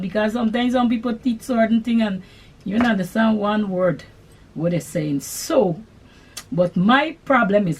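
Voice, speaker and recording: A middle-aged woman with a Guyanese accent, hosting a sewing channel and answering viewer questions in an unhurried, chatty way. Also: mid-range voice, a little mellow, slightly rough, poor audio. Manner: unhurried, chatty, Creole-tinged phrasing. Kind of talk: casual Q&A chat to camera.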